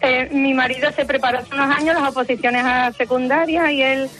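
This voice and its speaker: A woman with a Spanish accent phones in to a radio show and cheerfully tells a personal story. Her voice sits fairly high and friendly, with a faint music bed underneath. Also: steady tone, fairly quick. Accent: Spanish from Spain. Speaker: radio caller.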